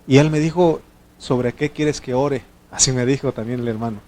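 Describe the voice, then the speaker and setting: A preacher from Mexico, whose first language is not Spanish, addresses his congregation in a lively sermon. He swings from raised, urgent exhortation down to soft, low asides, at an ordinary middle pitch for a man. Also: normal speed. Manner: animated, swinging between loud exhortation and quiet asides.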